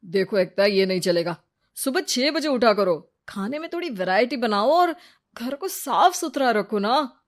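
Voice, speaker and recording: A woman's voice: fairly high pitch, lively intonation, measured pace. A woman voicing a bossy, fussy cartoon husband who scolds and makes demands like a mother-in-law. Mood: nagging.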